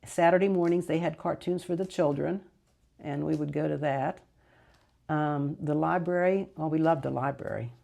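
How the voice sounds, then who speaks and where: Older woman reminiscing, low gravelly voice. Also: fairly even intonation, moderate pace. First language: Greek. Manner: rambling, fond recollection with soft laughs and self-corrections. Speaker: interviewee. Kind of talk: casual reminiscing interview.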